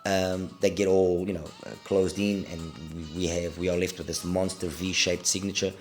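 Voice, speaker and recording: A man's voice: deep voice, level tone, moderate pace, darkish tone, faint music behind. A middle-aged man, congested with a cold, giving a casual, hesitant product review and repeating words for emphasis. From Portugal. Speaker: audio gear reviewer.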